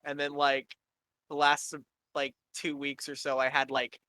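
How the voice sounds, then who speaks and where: A man's voice: monotone, bright, forceful tone, dry recording. A man in his twenties from Canada, hosting a casual chat and rambling with swearing and laughs. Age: 20s. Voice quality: high-pitched for a man. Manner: rambling and profane, quick to laugh. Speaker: podcast host.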